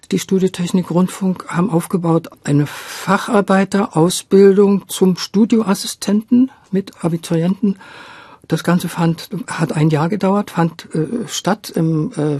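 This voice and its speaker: A woman over seventy reminiscing as an interview guest, thinking aloud in a halting, thoughtful way. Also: fairly low pitch, warm tone, breathy edge, no echo. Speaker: interview guest, radio drama director.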